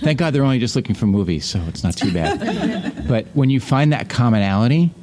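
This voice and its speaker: A man's voice: medium pitch, expressive, a little fast, background noise. A middle-aged man hosting a casual panel conversation, speaking in a chatty, rambling, anecdotal way. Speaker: podcast host.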